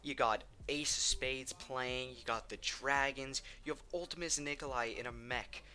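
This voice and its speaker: A man's voice: mid-range voice, moderate pace, pushed and bright. A man giving casual, opinionated countdown commentary on video games, enthusiastic and emphatic, repeating words for stress. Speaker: video game ranking host.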